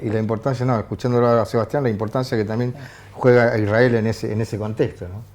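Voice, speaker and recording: A man's voice: fairly low pitch, steady tone, quick pace, a little mellow. Hesitant Argentine man, TV panel guest. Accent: Argentine Spanish. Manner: hesitant, many restarts and fillers. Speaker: panel guest, international journalist. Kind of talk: TV studio political discussion. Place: TV studio.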